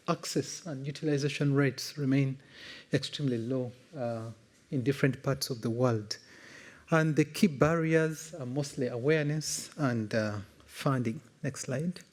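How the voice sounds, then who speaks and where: A man with a Ugandan accent and a slightly high voice gives a conference presentation. He explains in a formal, measured way, as a specialist speaking from slides. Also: a little mellow.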